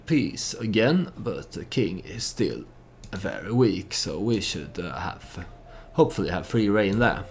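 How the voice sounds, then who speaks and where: Deep, gravelly-voiced man narrating a game. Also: sing-song, slight background noise. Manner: hesitant, halting, thinking aloud. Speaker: let's play host. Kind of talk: storytelling game commentary.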